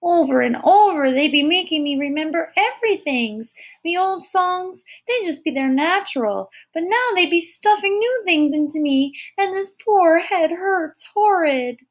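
A tiny, feisty urchin girl from a storybook, acted out by a woman narrator. The voice sits very high and small. Her speech is childish and rustic, with homespun grammar. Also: lively intonation, a little slow, few pauses, exaggerated voice. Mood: unhappy complaint. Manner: childish ungrammatical dialect speech. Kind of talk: audiobook character voice.

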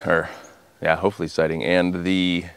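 A man with a low, smooth voice walks a camera along a wall he is rebuilding outdoors. He explains each step plainly and practically. The sound is dry and close. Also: level tone, moderate pace, no echo. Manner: practical, matter-of-fact explaining. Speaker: homestead builder, channel host. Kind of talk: casual on-site walkthrough. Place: outdoors beside a small house under repair. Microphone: handheld camera at arm's length.

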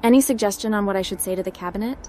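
A woman's voice: medium pitch, racing, mellow, faint music behind, close to an everyday voice. A woman playing a young hacker captain in a video game roleplay, direct and inquisitive.

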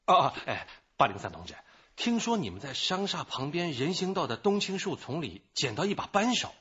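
A nervous, deferential security guard in a radio play. His voice is very husky and sharp, with animated swings as he stammers and hedges. The recording is a little dull. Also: a little high, a little fast, heavily acted. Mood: nervous hedging. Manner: stammering, hedging, full of interjections. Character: hesitant, deferential male security guard with a tip. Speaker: actor in a radio drama. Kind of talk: radio drama acting.

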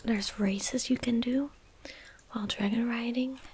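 A young woman doing soft-spoken ASMR gameplay commentary, gentle and breathy, close on a studio microphone. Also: fairly high pitch, fairly even intonation. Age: young adult. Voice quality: breathy, whispered. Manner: gentle, unhurried, casual. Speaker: ASMR game streamer. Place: indoor streaming room.

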